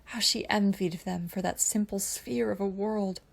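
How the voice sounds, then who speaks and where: A woman's voice: medium pitch, fairly even intonation, moderate pace, creaky. A woman reading scripted fan fiction aloud as a storyteller. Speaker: podfic narrator.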